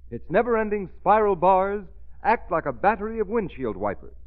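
A man's voice: sits high, big pitch swings, normal speed. An American man announcing in a dramatic, emphatic vintage radio style. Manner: emphatic, dramatic, crisply projected. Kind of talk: 1930s-40s radio announcing and ad reading. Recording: tinny old radio recording with music.

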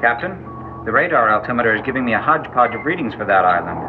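Male actor as spaceship pilot: crisp, dutiful, procedural, mid-range voice. Old radio sci-fi, very tinny sound. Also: mid-range voice, sing-song, fast talker, close to an everyday voice. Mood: concerned. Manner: crisp, procedural call-outs. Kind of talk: old-time science-fiction radio drama acting. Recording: tinny, noisy vintage radio recording.

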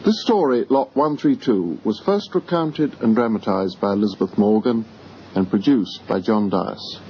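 A man's voice: fairly low pitch, moderate pace. A man working as a radio announcer, reading scripted closing credits. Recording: dull, noisy old radio broadcast.

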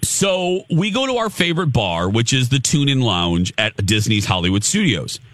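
A man co-hosting a morning radio show, telling a story in a lively, chatty way with laughs, close on a studio microphone. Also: mid-range voice, normal speed, dry recording.

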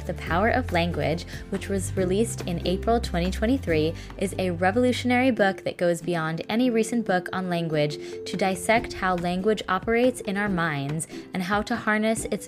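Low-voiced woman host reading an intro. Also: moderate pace, hardly any pauses. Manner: reads a prepared introduction clearly. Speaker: podcast host. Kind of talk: scripted intro. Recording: music bed under the voice.

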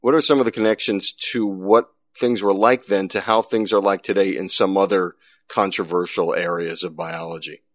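A man hosting a podcast and interviewing in a clear, conversational way. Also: low-pitched, steady tone, moderate pace, dry recording, clean studio sound. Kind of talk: scripted intro and conversational interview.

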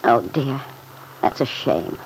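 An actress playing a kindly, thoughtful middle-aged farm mother in an old-time radio drama, speaking gently and politely with some hesitancy. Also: rumbling low, lively intonation, slow, creaky, a little hiss or hum, exaggerated voice, poor audio. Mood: regretful, earnest.

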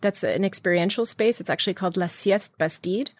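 A woman's voice: medium pitch, a little fast, no room sound. A middle-aged woman from Iran, founder of a beauty brand, answering interview questions with eager enthusiasm and many restarts.

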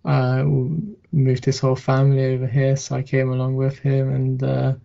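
A young man born in Hungary and raised in England talks haltingly, stopping and restarting with many fillers. His voice is gravelly, dark and very flat in pitch. The sound is dull and lo-fi, like a remote call. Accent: English. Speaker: guest journalist. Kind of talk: casual interview chat.

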